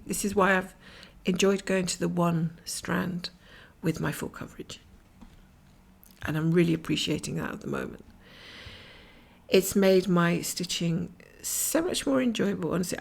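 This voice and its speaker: A middle-aged woman from Scotland chatting casually while she cross-stitches at home, rambling from topic to topic and often dropping to a whisper, her voice croaky and her nose blocked. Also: fairly low pitch. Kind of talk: casual stitch-along chat. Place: at home, camera over her stitching hoop.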